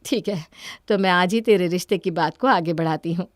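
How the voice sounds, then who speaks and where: A woman's voice: lowish voice, a little fast, no room sound, studio quality, lightly acted. Woman voicing an anxious, coaxing ageing mother. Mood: worried, coaxing. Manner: coaxing, pleading.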